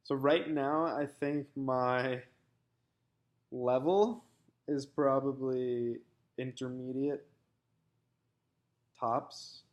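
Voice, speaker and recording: A young man from the United States talks through a personal statement at a middle pitch. He pauses, restarts, and fills gaps with ums as he thinks aloud. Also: fairly even intonation. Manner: halting, thoughtful, many fillers and restarts. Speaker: graduate student.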